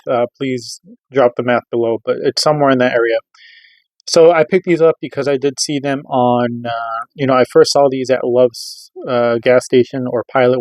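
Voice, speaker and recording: A man's voice: medium pitch, darkish tone, dry recording, low-quality recording. A middle-aged American man giving a casual product review, explaining things in an informal, rambling way. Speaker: radio reviewer.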